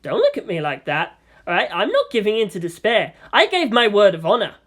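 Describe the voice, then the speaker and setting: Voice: medium pitch, wildly swooping pitch, a little fast, fairly bright tone, voice pushed up. A narrator voicing an earnest, lovelorn teenage boy who doubts himself. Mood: defensive.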